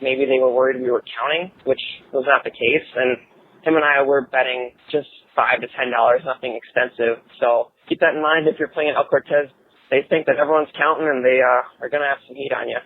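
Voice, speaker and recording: A man's voice: medium pitch, a little hiss or hum. American man on a tinny phone voicemail. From Minnesota, United States. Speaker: voicemail caller. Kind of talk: trip report on voicemail.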